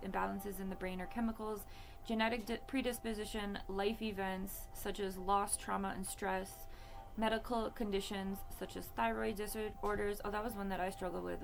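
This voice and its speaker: A woman in her late thirties speaking candidly in a casual monologue, full of fillers and asides. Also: medium pitch. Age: 30s. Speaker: podcast host.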